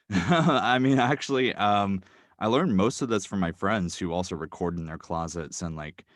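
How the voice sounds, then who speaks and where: Guy with a low voice rambling on a podcast from his closet, blanket over the mic, super dry sound. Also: measured pace, no echo. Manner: rambling, lots of ums and likes. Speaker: podcast guest. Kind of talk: casual chat. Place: small cramped closet. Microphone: microphone wrapped in a blanket.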